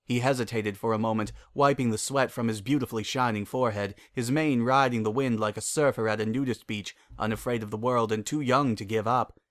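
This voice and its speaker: Male story narrator, mid-pitched, scripted melodramatic fantasy reading, dry studio-like sound. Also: steady tone, a little fast, few pauses, no echo.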